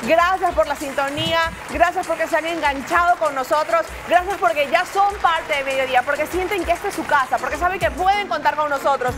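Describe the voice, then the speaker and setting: Effusive high-voiced Ecuadorian co-host. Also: female voice, a little fast, hardly any pauses. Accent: Ecuadorian Spanish. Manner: warm, emphatic, repeats her thanks. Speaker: TV show co-host. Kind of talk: upbeat on-air address to viewers. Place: TV studio set. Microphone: lapel. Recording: TV studio with music bed.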